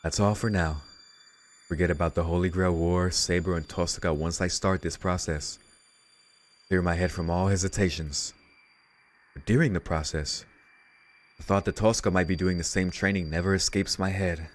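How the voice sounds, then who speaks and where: A man's voice: low-pitched, steady tone, moderate pace. A man hosting a let's read, reading visual novel narration aloud and dropping in casual asides. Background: game music.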